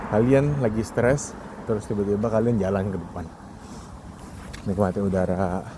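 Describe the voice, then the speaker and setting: A man's voice: on the low side, steady tone, normal speed. Young man chatting over street noise. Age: 20s or 30s. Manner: conversational, self-deprecating, rhetorical questions. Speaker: solo podcast host. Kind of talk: casual rambling monologue while walking. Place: outdoors, walking along a street. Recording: outdoor urban noise, passing vehicles. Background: clear traffic and ambient noise.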